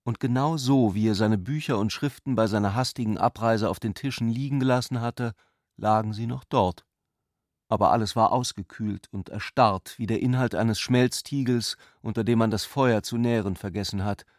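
A man reading literary narration for a radio drama in a measured, articulate manner. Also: lowish voice, a little mellow, dry recording, studio quality.

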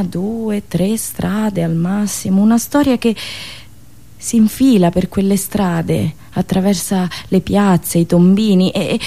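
A woman's voice: on the low side, mellow. Ironic woman storyteller on radio. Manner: ironic, deliberate phrasing with repetitions. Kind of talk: theatrical satirical monologue storytelling.

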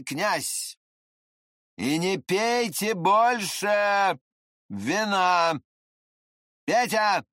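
A man voicing a huge, blunt, belligerent brawler who bellows in drawn-out shouts, in an audiobook. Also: sits high, dry recording, studio quality, pitched above the performer's own voice, heavily acted. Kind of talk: dramatized audiobook character voice.

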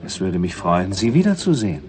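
A man's voice: sits low, sing-song, a little fast, a crowd in the background. A man playing an irritable, sarcastic tourist who feels cheated, in a 1960s radio drama. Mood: dry. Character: indignant male tourist, cheated and sharp-tongued.

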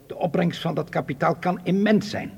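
An actor playing a cold, ruthless spy boss in a radio drama, with a commanding, menacing, deliberate delivery. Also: male voice, high-pitched, vocal fry, darkish tone. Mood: cold, controlled. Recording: hall-like long reverb.

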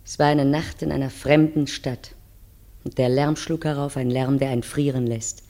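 A woman playing a wistful wife who narrates her memories in a measured, poetic radio play style. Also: extremely low pitch, fairly even intonation, dark tone. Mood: solemn, yearning. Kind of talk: dramatic first-person radio play narration.

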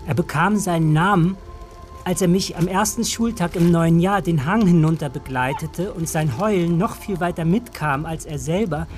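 An actor playing a grown narrator who recalls a village childhood in measured, reflective radio drama storytelling. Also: medium pitch, darkish tone, natural voice.

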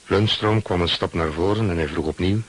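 An actor playing a seafaring man who narrates grim memories in a measured, sombre radio play style. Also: deep voice, slight background noise, lightly acted.

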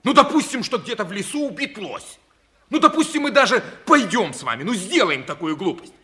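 High-voiced, sarcastic, indignant hunter. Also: male voice, sing-song, brisk, pushed and bright, full character voice. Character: indignant, sarcastic male hunter's companion, argumentative. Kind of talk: 1960s Russian radio play acting.

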